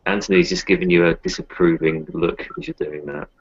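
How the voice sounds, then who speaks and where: A man's voice: low voice, fairly even intonation, brisk, creaky, breathy edge. A man talking casually in character during a tabletop roleplaying game, recorded with dull lo-fi sound.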